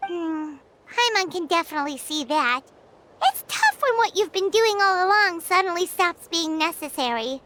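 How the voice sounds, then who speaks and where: A woman voicing a tiny, curious, childlike fairy companion in a video game, with a squeaky voice. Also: lively intonation, normal speed, heavy background noise. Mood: thoughtful. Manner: refers to self in third person, exclaiming. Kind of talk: video game character dialogue. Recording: bouncy music behind the voice.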